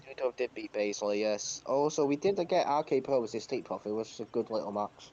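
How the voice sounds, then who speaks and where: A man's voice: lowish voice, lively intonation, a little fast, darkish tone. A man giving blunt, unimpressed opinions as a panelist in casual review chat. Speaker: podcast panelist. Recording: dull lo-fi mic with faint noise.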